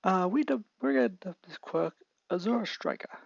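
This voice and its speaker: A man voicing a calm adult male doctor who explains things matter-of-factly. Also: high-pitched, normal speed, gravelly, husky, no room sound, dull audio, pitched above the performer's own voice, heavily acted. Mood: explaining.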